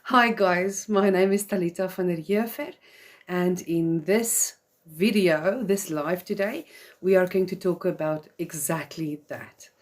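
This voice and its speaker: A woman's voice: on the low side, a little slow. Earnest South African woman live-streaming. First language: Afrikaans. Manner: earnest, chatty, with frequent "um" fillers. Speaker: host of a live video series for mothers. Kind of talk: live-stream talk to viewers.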